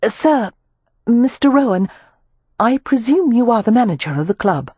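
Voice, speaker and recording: A woman's voice: fairly high pitch, big pitch swings, moderate pace, a little mellow, slightly rough, dry recording, pitched above the performer's own voice. A woman voicing a proper, determined young Victorian lady who speaks politely, formally and insistently. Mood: earnest, insistent.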